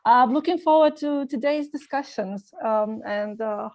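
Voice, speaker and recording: A woman's voice: a little high, measured pace, a little mellow, dry recording. Woman, from Romania, video call, hesitant, many fillers. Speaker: meeting participant. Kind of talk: brief self-introduction.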